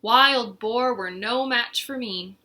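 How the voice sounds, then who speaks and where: A woman's voice: fairly high pitch, takes it slowly, bright, forceful tone. A woman voicing a boastful old male snake in a storybook, speaking emphatically. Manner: bragging, emphatic.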